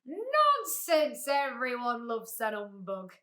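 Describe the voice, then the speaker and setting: Woman putting on a booming, pompous humbug beetle. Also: lively intonation, measured pace, pushed and bright, heavily acted. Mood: blustering, pompous. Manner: pompous, grandiloquent. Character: blustering, pompous male humbug, a big beetle-like con man.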